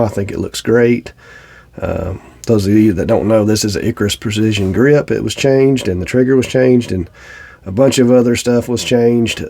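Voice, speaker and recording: A man's voice: lowish voice, mellow. A middle-aged man with a Southern US accent and a slightly stuffy nose, chatting plainly and casually to viewers in a review. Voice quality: slightly congested, stuffy-nosed. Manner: plainspoken, folksy. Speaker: shooting channel host.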